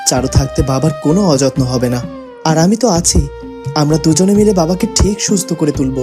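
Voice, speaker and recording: A woman's voice: deep voice, lively intonation, fairly quick, music in the background. A woman voicing a young prince in a fairytale cartoon, respectful and reassuring. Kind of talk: cartoon voice acting.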